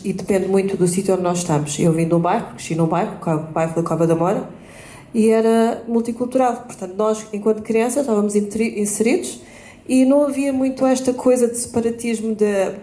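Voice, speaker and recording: A woman's voice: mid-range voice, dark tone. A woman tells her own story on a panel, candid, acting out the exchanges she recalls. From Portugal. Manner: candid, acts out quoted exchanges. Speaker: debate panelist. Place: event space at a bookshop. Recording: roomy hall.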